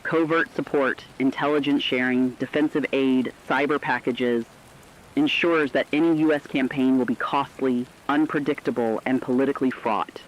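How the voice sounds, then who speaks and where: A man's voice: mid-range voice, steady tone, moderate pace, highs cut off, lightly acted. A man playing a serious radio war analyst, giving formal, sober and emphatic commentary in a scripted emergency broadcast. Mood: sober, warning. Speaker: news analyst.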